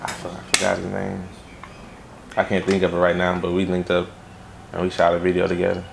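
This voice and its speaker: A man's voice: low-pitched, monotone, a little fast, vocal fry, a little hiss or hum. A young man from Los Angeles raised in Baton Rouge, a music video director answering interview questions in a laid-back way with lots of fillers. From Los Angeles, raised in Baton Rouge, Louisiana.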